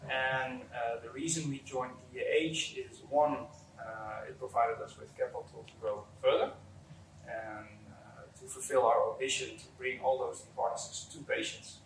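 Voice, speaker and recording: A man's voice: medium pitch, steady tone, unhurried. A man in his forties with a Dutch accent, giving unscripted answers as an executive being interviewed. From Netherlands. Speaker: company executive, interview guest. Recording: roomy, distant mic, faint background noise.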